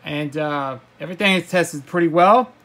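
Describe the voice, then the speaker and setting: A man giving a casual gadget demonstration as a hobbyist, halting, often repeating words and stumbling as he goes. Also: a little high, sharp tone. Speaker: hobbyist video presenter.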